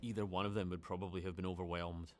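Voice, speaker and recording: A man explaining a game's story in a measured, storytelling way for a documentary interview. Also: low-pitched, flat delivery. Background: faint music.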